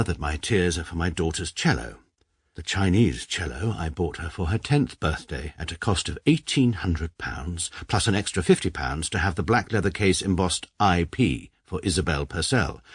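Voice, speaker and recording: An actor in his forties playing a pompous, precise barrister who narrates in a dry, deadpan, wry manner. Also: sits low, breathy edge, no room sound, heavily acted. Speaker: first-person narrator and lead character.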